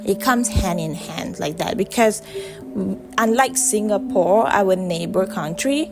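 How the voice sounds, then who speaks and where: A woman's voice: mid-range voice, measured pace, over music. A woman from Malaysia, a native Malay speaker with a Malaysian accent, talking straight to camera in a casual vlog, blunt and profane with heavy emphasis. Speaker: vlogger. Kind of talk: casual direct-to-camera monologue.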